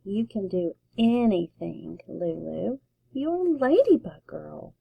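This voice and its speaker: A woman voicing a mother who talks gently and encouragingly. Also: medium pitch, very animated, slow, gravelly, husky, no room sound, phone-quality sound, heavily acted. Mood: reassuring. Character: calm, reassuring mother.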